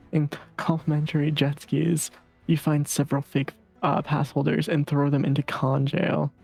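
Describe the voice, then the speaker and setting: Female game streamer, gravelly fry, relaxed read-aloud, faint music behind. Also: sits low, low-quality recording. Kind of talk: casual narration and reading of a video game.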